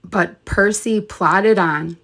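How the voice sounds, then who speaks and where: A woman reads a children's tale aloud in an expressive teacherly style. Her voice sits at a medium pitch, and she takes her time over the words. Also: takes it slowly. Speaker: storybook reader, teacher. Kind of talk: expressive children's story narration.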